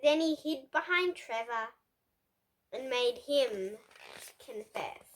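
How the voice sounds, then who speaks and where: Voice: sits high, expressive, takes it slowly, a little hiss or hum, low-quality recording. A child hosting a read-aloud, reading picture books expressively with playful, dramatic asides and questions to young listeners. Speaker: host of a children's read-aloud book channel.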